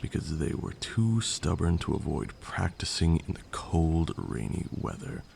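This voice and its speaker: A man's voice: sits low, big pitch swings, unhurried, creaky, a little mellow, reverberant, a little hiss or hum, heavily acted. A man playing a haughty but caring vampire lord in an ASMR roleplay, speaking formally and sternly. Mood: stern, concerned.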